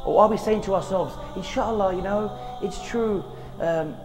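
A man's voice: high voice, moderate pace, big-room sound, music in the background, low-quality recording. A man in his thirties giving an earnest religious reminder outdoors in a graveyard, pleading with rhetorical questions, on a lapel mic. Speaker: preacher.

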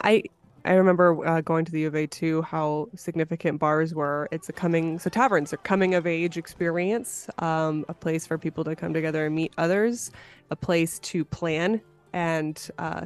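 Woman podcast host, clear and smooth. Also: on the low side. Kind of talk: casual podcast conversation.

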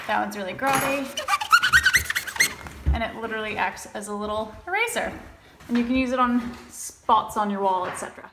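A young woman, chatty and upbeat, vlogging a home renovation on a phone camera while laughing often. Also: on the high side. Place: empty house, indoors. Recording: echoey empty rooms, noisy.

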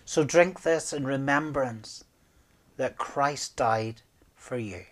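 Man, fifties, minister, communion liturgy, solemn and measured, slow delivery, mid-range pitch. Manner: measured, solemn, with deliberate pauses. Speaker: minister leading worship. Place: small room indoors, at a desk.

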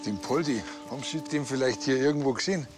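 A man's voice: mid-range voice, fast talker, over music, natural voice. An actor with a Bavarian accent playing an eager village policeman who talks in a chatty, colloquial way.

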